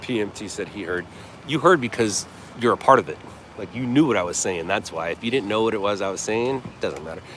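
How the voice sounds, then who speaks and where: A man hosting a live stream, chatting casually with viewers. He has a deep voice that carries. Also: fairly quick, fairly bright tone. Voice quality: deep, carrying voice. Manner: offhand, chatty, thanks viewers by name. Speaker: livestream host. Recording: noisy outdoor street recording.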